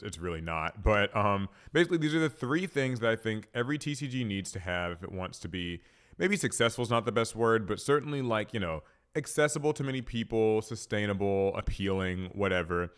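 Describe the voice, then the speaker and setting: A man's voice: lowish voice, a bit bright, smooth. A young man hosting a card game podcast, talking casually on his own, a bit stuffy from a cold, rambling with lots of fillers and restarts. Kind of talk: casual solo talk to camera.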